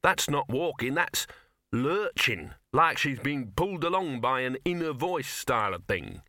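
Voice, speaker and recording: A man's voice: mid-range voice, very animated, measured pace, sharp tone, no room sound, studio quality, pitched above the performer's own voice. A man voicing a scruffy small male dog in a storybook, growly and blustering in a colloquial way. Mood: worried.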